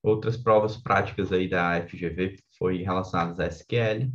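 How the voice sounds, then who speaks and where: A man's voice: low voice, steady tone, moderate pace, fairly bright tone, lo-fi sound. A man with a Brazilian accent giving an informal explanatory lesson as an exam coach, conversational and often tagging his points with little check-in questions. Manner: conversational, frequent tag questions seeking agreement.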